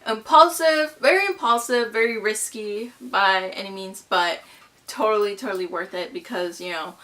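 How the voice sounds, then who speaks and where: Bubbly twenty-something girl from mexico talking to her camera, bright voice, lots of likes and you knows. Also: mid-range voice. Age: 20s. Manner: bubbly and gushing, lots of fillers like "you know" and "like". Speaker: vlogger. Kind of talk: casual chatty vlog.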